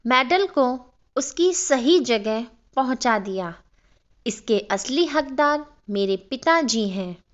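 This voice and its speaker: High-voiced, earnest grateful daughter, read by a woman. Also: expressive, slow pace. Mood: proud devotion. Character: grateful, earnest grown daughter giving a speech. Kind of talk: storybook character dialogue in narration. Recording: dull, lo-fi sound.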